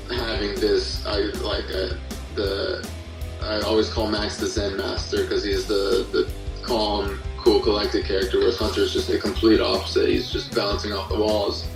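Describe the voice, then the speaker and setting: A man chatting casually as an interview guest, explaining his creative work in a halting but enthusiastic way. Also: fairly low pitch. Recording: roomy, distant mic, faint music.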